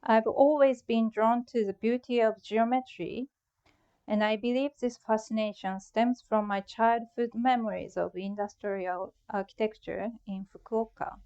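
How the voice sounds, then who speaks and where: A woman's voice: on the high side, fairly even intonation, unhurried, warm tone, clear, resonant tone, muffled recording. A middle-aged woman from Japan with a Japanese accent, giving a prepared, reflective talk about her own art. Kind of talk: prepared artist talk presentation.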